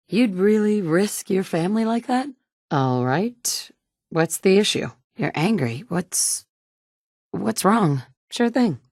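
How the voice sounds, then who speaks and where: An actress playing a capable, dry-witted survivor and leader in a video game, speaking directly and matter-of-factly. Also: female voice, mid-range voice, darkish tone, dry recording. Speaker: player character. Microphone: close studio mic.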